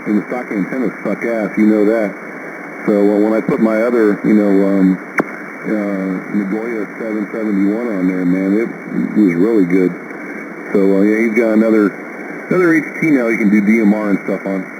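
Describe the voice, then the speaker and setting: A man's voice: fairly low pitch, normal speed. A man chatting casually as an amateur radio operator over shortwave, in an informal, folksy, mildly profane way. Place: two-way radio contact received on shortwave. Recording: noisy shortwave radio transmission with static.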